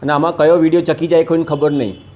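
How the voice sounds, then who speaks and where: Fast-talking Gujarati man, slightly high-pitched. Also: little pitch movement, darkish tone, dull audio. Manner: insistent, explanatory, colloquial. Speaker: activist and social media video maker. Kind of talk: casual argumentative conversation.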